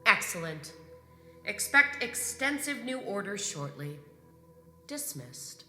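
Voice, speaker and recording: Bright, commanding woman acting a ruthless space marshal. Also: mid-range voice, sing-song, exaggerated voice. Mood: cold, commanding. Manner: commanding, formal, deliberate. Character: ruthless female grand marshal, obsessive space villain. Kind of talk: science fiction audio drama acting. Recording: echoey hall reverb, music underneath.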